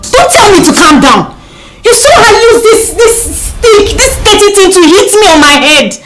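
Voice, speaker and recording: A woman's voice: very high voice, big pitch swings, normal speed, poor audio. An actress with a Nigerian accent playing an indignant, defiant woman who will not back down, in a melodramatic film with music underneath. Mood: indignant, outraged. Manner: indignant, challenging, repetitive.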